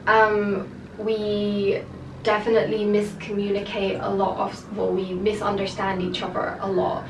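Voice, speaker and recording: A young woman, raised in the UK, chatting candidly to camera with lots of filler words. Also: medium pitch. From half Japanese, raised in the UK. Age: young adult. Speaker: vlogger. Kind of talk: casual chat to camera. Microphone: far camera mic. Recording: echoey room, distant mic, noisy.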